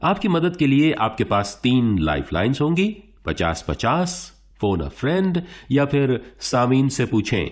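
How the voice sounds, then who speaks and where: A man playing a suave quiz show host in a radio play, formal and theatrical, with dramatic pauses. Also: medium pitch, sing-song. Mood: showy, polite.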